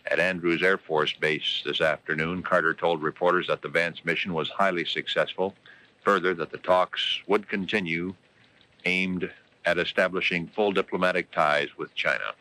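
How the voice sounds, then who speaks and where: A low-voiced American man delivers a radio newscast. His tone is bright and forceful, with formal, emphatic phrasing on each scripted item. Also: steady tone, normal speed, low-quality recording. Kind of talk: scripted radio news and weather reading. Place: radio studio.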